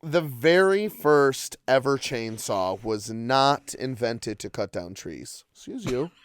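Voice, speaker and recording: A man's voice: mid-range voice, expressive, slow, clear, resonant tone, no room sound. A young man co-hosting a casual podcast, joking crudely and laughing as he tells stories and facts. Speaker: podcast co-host. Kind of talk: casual chat.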